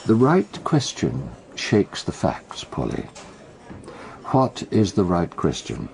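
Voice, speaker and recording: Dry, creaky-voiced British detective. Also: male voice, low voice, huge pitch swings, a little mellow, slight background noise, heavily acted. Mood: patient, testing. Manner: dry, deliberate, questioning, corrective. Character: shrewd, measured male amateur detective, dry and probing. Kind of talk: British radio detective drama acting.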